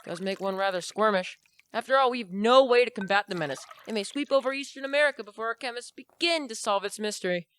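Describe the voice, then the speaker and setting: A woman's voice: a little high, fast talker, background noise, lightly acted. A woman playing an earnest, uneasy young man in an amateur radio play, with stagey delivery.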